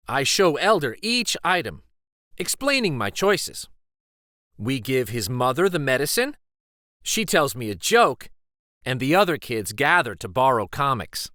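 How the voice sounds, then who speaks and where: Male children's storyteller, slow and lively, with varied pitch for picture book narration. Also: no echo, clean studio sound. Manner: deliberate, animated storytelling delivery. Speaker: children's read-aloud storyteller.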